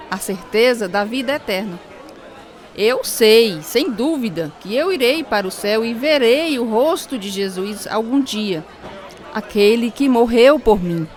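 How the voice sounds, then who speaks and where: A devout young choir singer, joyful and certain of her faith. Her voice is fairly high, in radio drama style, with a faint crowd around her. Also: animated, measured pace.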